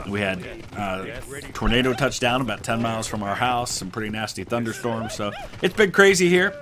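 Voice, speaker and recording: A middle-aged man hosting a gaming channel, with a stuffed-up nose, rambling through a relaxed history commentary full of "uh" and "um". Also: mid-range voice, normal speed. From Ohio, United States. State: stuffed-up nose from a sinus infection. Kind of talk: casual history commentary over gameplay.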